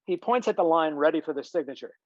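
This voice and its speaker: A woman's voice: low voice, expressive, fast, darkish tone, dry recording. A woman reading stage directions as scripted narration at a table read, on slightly dull video-call audio.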